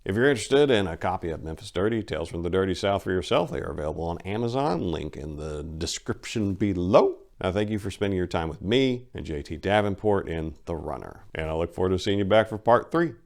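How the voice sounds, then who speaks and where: Casting: male storyteller with a low voice, dramatic delivery for crime fiction narration. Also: animated, brisk, vocal fry, hardly any pauses, a little mellow. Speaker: narrator and author reading his story.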